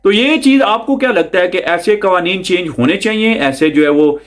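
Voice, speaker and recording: Man, high-pitched voice, news commentary, conversational, explanatory, repeats phrases for emphasis. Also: animated, normal speed, a bit bright. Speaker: video host.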